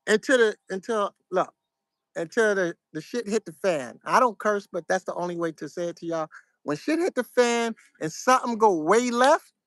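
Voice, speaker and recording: A middle-aged man from New York with a New York accent, giving casual, soft-spoken advice to his viewers in a fairly flat, monotone way. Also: sits high, dry recording. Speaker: vlogger.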